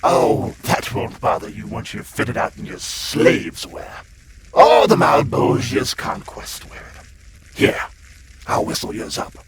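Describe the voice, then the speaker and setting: A man's voice: mid-range voice, wildly swooping pitch, slow pace, very noisy. A man playing a glib, sleazy demon guide of hell in a comic dub, full of slangy, theatrical sales patter. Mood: gleeful, mocking. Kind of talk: comic-book dub voice acting. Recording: loud music under the voice. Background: prominent music.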